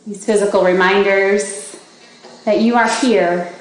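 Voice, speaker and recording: A woman leading a guided meditation for a group, giving slow, gentle instructions with long pauses. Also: medium pitch, steady tone. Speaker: meditation teacher leading a body scan. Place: large indoor gathering space. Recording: very echoey room with faint background noise.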